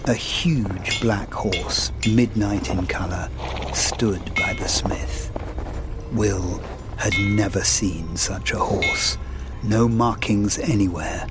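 An actor narrating a dark fantasy audio drama as a solemn, measured storyteller. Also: male voice, on the low side. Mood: ominous. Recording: narration over loud music.

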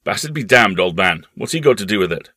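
A jovial gentleman uncle, acted out by a male narrator for a storybook. He talks in a hearty, booming, teasing way, amused by the young. The recording is very dry. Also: animated, fast talker, dry recording. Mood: blustering, amused.

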